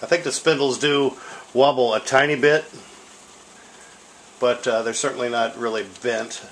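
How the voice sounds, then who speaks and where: A man's voice: mid-range voice, steady tone, normal speed, a bit bright, a little hiss or hum. A middle-aged man giving a casual, unscripted equipment demonstration in a rambling, self-deprecating way. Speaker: tape deck seller demonstrating equipment. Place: indoor room at home. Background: music from record and tape playback.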